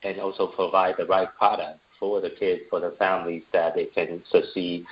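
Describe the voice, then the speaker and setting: A man's voice: low voice, flat delivery, moderate pace, highs cut off. A man from Taiwan, a guest who is an entrepreneur and parent, answering interview questions earnestly with frequent fillers.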